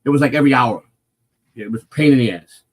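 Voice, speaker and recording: A man with a naturally loud voice, hosting a casual morning live stream and rambling through a personal story with lots of swearing and restarts. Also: medium pitch, fast. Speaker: morning show host telling a personal story.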